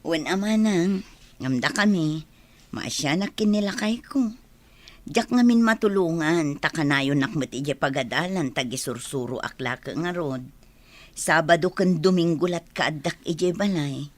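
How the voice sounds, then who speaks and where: Low-pitched, polite Ilocano village schoolteacher, acted by a woman. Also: unhurried, no echo. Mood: friendly, concerned. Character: polite village schoolteacher wife, apologetic and considerate. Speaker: radio drama actor. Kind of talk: Ilocano radio comedy drama acting.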